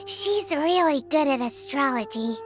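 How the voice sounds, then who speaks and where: Squeaky, very high little fairy. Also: female voice, lively intonation, unhurried. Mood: chirpy, blunt. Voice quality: squeaky, childlike. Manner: blunt, inquisitive. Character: tiny childlike female fairy companion, perky and blunt. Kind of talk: video game character acting. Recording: loud game music behind voice.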